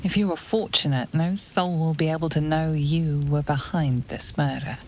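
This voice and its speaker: A woman playing the brooding narrator of a grim fantasy video game, speaking deliberately and ominously in literary phrases, with music behind her. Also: low voice, steady tone, darkish tone, slight background noise. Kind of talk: scripted dramatic second-person game narration.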